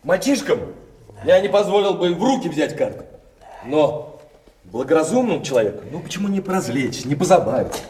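An agreeable, smooth-talking gentleman in a stage comedy. He is courteous and ingratiating, his pitch rising and falling freely. The recording is roomy and off-mic, with faint noise. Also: very animated, fairly quick, distant mic. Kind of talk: theatrical stage comedy acting.

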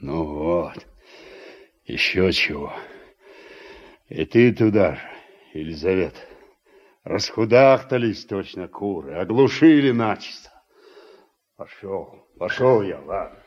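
An actor playing a middle-aged, plain-spoken Soviet farm man with an earthy, deliberate way of talking, in a radio drama. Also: sits low, lively intonation, exaggerated voice. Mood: grumbling fondly. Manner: earthy colloquial speech, reproachful and deliberate. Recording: dull, muffled old radio recording.

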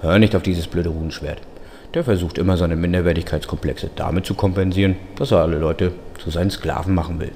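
A man playing a dry, bluntly sensible talking sword in a parody audio drama, deadpan and matter-of-fact. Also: low-pitched, fast, a little hiss or hum.

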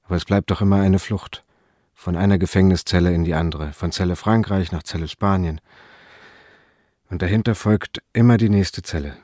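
A man's voice: sits low, a little mellow, breathy edge, no echo, studio quality. An actor playing a wistful, tender man on the run in a radio drama. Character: wistful, tender male fugitive courting a young woman.

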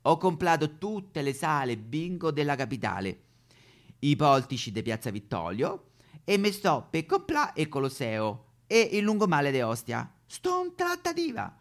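A male comedian plays a cheerful immigrant tycoon in a comic stage monologue. He brags loudly. The voice is raised in pitch and very animated. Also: unhurried, exaggerated voice. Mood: boastful, chirpy. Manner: loud, bragging. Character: boastful, cheerful male Chinese immigrant tycoon settled in Rome.

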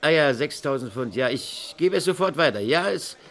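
An actor playing a methodical police officer taking down a report with halting questions in a 1970s radio crime drama. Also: male voice, on the high side, animated, a bit bright. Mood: methodical, attentive. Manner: halting, methodical, repeating details back.

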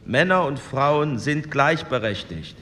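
A man's voice: medium pitch, lively intonation, takes it slowly, bright, forceful tone, big-room sound. An actor playing a 1940s committee chairman who calls votes in a formal, procedural way. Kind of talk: formal parliamentary proceedings, radio play.

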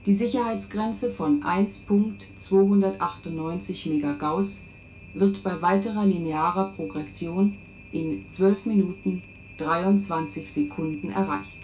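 A woman's voice: mid-range voice, fairly even intonation, measured pace, a little mellow, close to an everyday voice, rough recording. A woman playing an impersonal monitoring computer in a science fiction radio play, formally reciting measurements and warnings. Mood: impersonal alarm. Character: female-voiced main monitoring computer announcing alarms, impersonal. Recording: 1970s radio recording with slight hum.

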